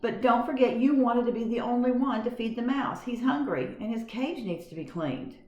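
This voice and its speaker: A woman voicing a kind, gentle grown woman at a camp who speaks in a coaxing way. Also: a little high, moderate pace, highs cut off, pitched above the performer's own voice, close to an everyday voice. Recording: roomy echo.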